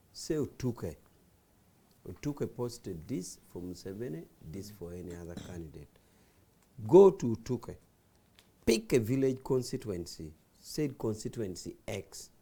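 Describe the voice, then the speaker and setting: Guy on a political talk show, dark mellow voice, keeps lecturing and repeating himself. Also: fairly low pitch, breathy edge. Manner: insistent, lecturing, repeats phrases. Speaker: party official guest.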